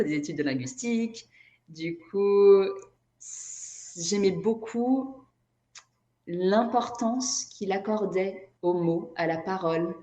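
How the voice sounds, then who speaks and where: A woman's voice: lowish voice, a little mellow. A woman from Brazil whose native language is Portuguese, speaking reflectively as an interview guest and often searching for her words. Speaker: interview guest, energy therapist and writer. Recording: echoey room.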